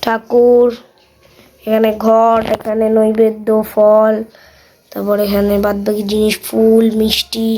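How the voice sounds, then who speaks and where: A woman's voice: fairly high pitch, monotone, takes it slowly, mellow. A woman narrating a casual show-and-tell vlog, pointing things out one by one. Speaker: vlog host.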